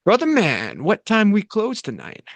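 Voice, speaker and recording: A man putting on a boastful bro for a drama table read. He is cocky and slangy, slightly raised in pitch, on a dry recording. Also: wildly swooping pitch, unhurried, no room sound, exaggerated voice.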